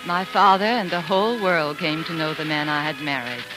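An actress playing a spirited, fiercely loyal colonel's wife and devoted daughter in an impassioned, theatrical 1940s radio drama style. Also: female voice, sits low, moderate pace, natural voice, rough recording. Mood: proud, tender. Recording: 1940s radio broadcast with music.

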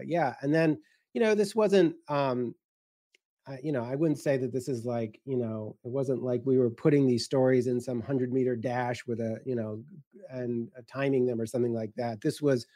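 A man with a soft-edged, mellow voice talks through his thoughts in an unscripted panel discussion. He is halting and hesitant, often restarting his sentences. The sound is very dry, with no room on it. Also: medium pitch, dry recording. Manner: halting, hesitant, many broken-off starts. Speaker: guest editor of a science writing anthology.

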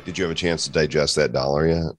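A man's voice: very deep voice, steady tone, fast, over loud music. A man co-hosting a podcast, wrapping up with easygoing, joking banter. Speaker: podcast co-host. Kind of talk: casual chat.

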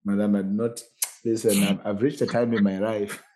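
A man's voice: on the low side, level tone, normal speed, warm tone. A man co-hosting a podcast in casual debate, often restarting sentences as he reasons aloud. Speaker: podcast co-host.

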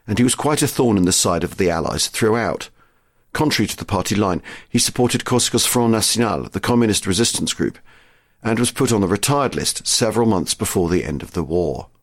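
A man presents as host in scripted narration. His voice is fairly low, and the recording is dry with almost no room sound. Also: lively intonation, a little fast, no echo.

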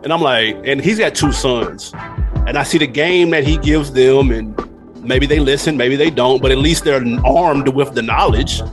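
A man's voice: mid-range voice, moderate pace. A middle-aged American man from Nashville, a podcast guest telling stories in a candid, slangy, casual way. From Nashville, USA. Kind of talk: casual storytelling chat. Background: music.

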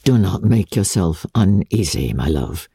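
A woman voicing a calm, wry, affectionate older gentleman father, measured and dryly reassuring, in a classic novel reading. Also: a bass voice, vocal fry, slightly rough, no echo, studio quality, voice pushed down, heavily acted. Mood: wry reassurance.

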